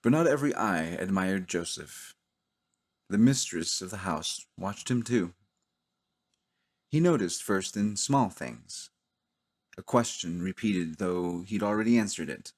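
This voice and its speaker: A man narrating a cinematic audio drama in a solemn, dramatic manner with deliberate pauses. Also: deep voice, expressive. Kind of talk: slow cinematic scripted narration.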